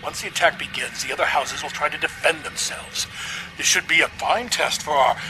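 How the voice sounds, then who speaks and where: A man's voice: fairly high pitch, fairly quick, over music, lightly acted, rough recording. A man playing a cruel, domineering older baron and father in a video game cutscene, pompous and mocking. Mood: gloating.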